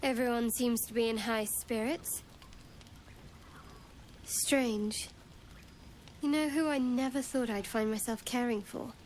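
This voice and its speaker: A woman's voice: fairly high pitch, slow pace, long pauses, darkish tone. An actress playing a guarded, wry young woman adventurer in a fantasy video game, with faint noise behind. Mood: wry, reflective.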